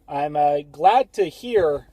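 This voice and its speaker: A man's voice: a little high, lively intonation, slow, mellow, no room sound. A young American man chatting casually as an amateur radio operator, recorded inside a car. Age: young adult. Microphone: phone camera facing him, close.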